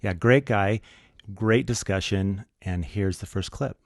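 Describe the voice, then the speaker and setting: Deep voiced guy cohosting a podcast, easygoing casual chat, really dry close sound. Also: unhurried, dry recording. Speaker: podcast co-host.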